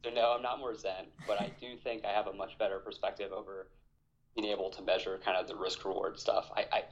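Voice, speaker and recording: A man in his thirties from Hawaii, an army veteran talking as a podcast guest in a measured, reflective way. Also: lowish voice, flat delivery, lo-fi sound, slight background noise. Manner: reflective, measured, with fillers and restarts. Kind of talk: conversational interview.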